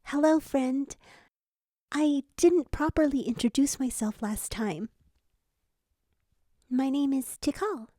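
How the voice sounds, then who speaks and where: Woman as a high, mellow, ethereal spirit. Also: slow, pauses often, no room sound, studio quality. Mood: serene. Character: gentle, ethereal female spirit, serene and mystical.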